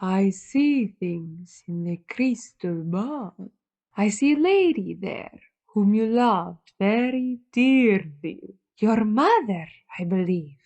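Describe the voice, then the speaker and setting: A woman's voice: mid-range voice, animated, takes it slowly, darkish tone. A woman playing a solemn, theatrical phony psychic with a grandiose, mystical delivery in a comedy audio drama. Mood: solemn, mysterious.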